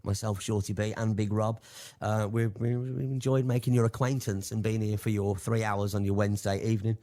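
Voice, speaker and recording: A man's voice: on the low side, fairly even intonation, fairly quick, mellow. A man in his forties co-hosting a late-night radio show, laid-back and jokey, sometimes trailing off into mumbles. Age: 40s. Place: radio studio.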